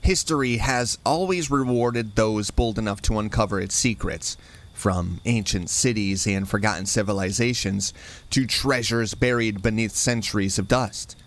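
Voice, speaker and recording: A man reading a scripted, spooky campfire-style introduction as host, in a measured and dramatic storytelling manner. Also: medium pitch.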